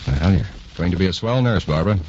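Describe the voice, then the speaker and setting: A man playing a kindly, easygoing hospital doctor in 1940s radio acting style, brisk and reassuring. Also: deep voice, lively intonation, darkish tone. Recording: muffled, hissy 1940s radio recording.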